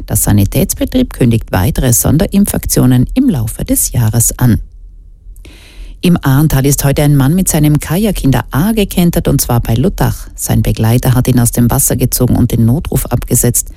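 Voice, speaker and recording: Female radio news anchor, very deep mellow voice, scripted bulletin delivery, dry close sound. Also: lively intonation, normal speed, vocal fry, no echo.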